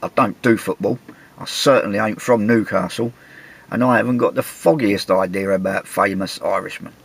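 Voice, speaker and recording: An English man, middle-aged, with a gravelly, husky voice, telling a travel story in a chatty, wry, self-mocking way. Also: lowish voice, moderate pace. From England. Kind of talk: casual anecdotal storytelling.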